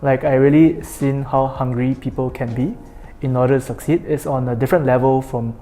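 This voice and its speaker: A man's voice: mid-range voice, steady tone, fairly quick, mellow, soft background music. A man from Singapore speaking thoughtfully on a panel, with some hesitations. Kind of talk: panel talk.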